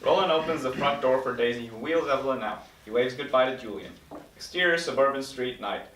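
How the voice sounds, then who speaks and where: A man's voice: medium pitch, a little fast, fairly bright tone. Guy narrating a script at a table read, mid voice, echoey, bit distant. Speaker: narrator reading stage directions. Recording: roomy recording, mic at a distance.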